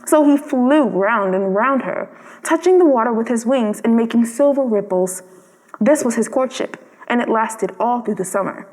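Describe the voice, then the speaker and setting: A woman narrating a scripted storybook in an expressive, theatrical way, with careful storytelling phrasing. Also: a little high, normal speed. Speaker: storybook reader. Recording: long reverberant hall-like sound.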